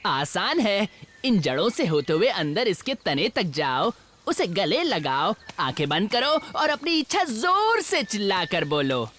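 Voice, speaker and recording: A woman's voice: medium pitch, big pitch swings, measured pace, fairly bright tone, a little hiss or hum. A woman playing a sly, cowardly trickster jackal in a cartoon dub, with a scheming, whining manner. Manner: scheming, giggling, stammers and wails when threatened. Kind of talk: animated cartoon dubbing. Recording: music behind the voice.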